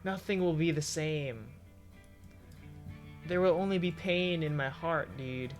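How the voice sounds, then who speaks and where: A man's voice: a little high, a little slow. A man chatting casually on a livestream in an informal, slangy way as he reacts to what he reads. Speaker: game streamer. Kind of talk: casual livestream chat reacting to forum posts. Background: background music.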